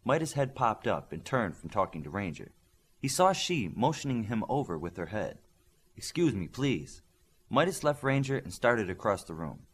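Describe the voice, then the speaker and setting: A man's voice: on the low side, big pitch swings, normal speed, husky. A man reading a scripted story aloud as an audiobook narrator.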